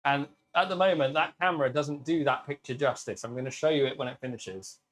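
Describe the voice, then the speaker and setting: A British man with a naturally loud voice, hosting a casual live stream demo and chatting warmly with viewers. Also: medium pitch, fairly quick. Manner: chatty, upbeat, greets viewers by name. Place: workshop with laser engravers.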